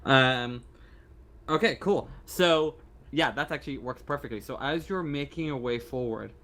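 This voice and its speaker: A man acting as game master, improvising narration for a tabletop roleplaying game in halting, repeated phrases. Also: medium pitch, pushed and bright, slight background noise.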